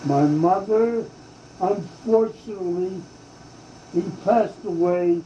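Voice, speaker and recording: An older man from Hungary recounts his childhood in a slow, halting way, stumbling over fillers and restarts. His voice is very dark and mellow, caught on a dull, roomy old tape. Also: high-pitched, slight background noise. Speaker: oral history interviewee. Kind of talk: slow reminiscing oral history.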